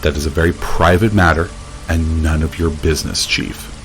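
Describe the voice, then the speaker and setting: Deep-voiced indignant reporter. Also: male voice, flat delivery, moderate pace, a little hiss or hum. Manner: pompous, wordy, defiant. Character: indignant, formal male small-town news reporter. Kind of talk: radio drama acting.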